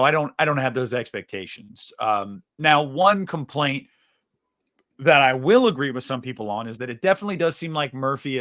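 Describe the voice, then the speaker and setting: A man with a medium-pitched voice speaks as a podcast co-host. He talks casually and works through his opinions in a measured way, now and then putting words in other people's mouths to make a point. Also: fairly bright tone.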